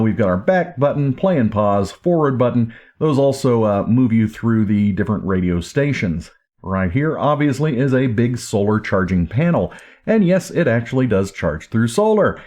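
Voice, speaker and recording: A man with a mid-pitched voice walks through a product in a casual hands-on review. His delivery is informal and off the cuff, like someone showing a gadget to viewers. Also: a little mellow. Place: indoors at home. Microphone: camera or recorder microphone.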